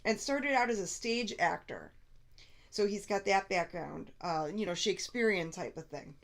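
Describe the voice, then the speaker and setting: A woman's voice: mid-range voice, normal speed. A woman with a stuffy nose co-hosting a casual live stream, chatting and reading out viewer comments. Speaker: co-host.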